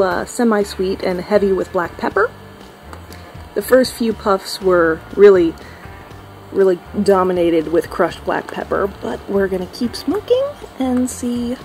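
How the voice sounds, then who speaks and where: A young woman chatting casually through a product review, friendly and relaxed with a few ums along the way, filmed on a phone at arm's length indoors. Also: mid-range voice, rough recording. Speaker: cigar reviewer.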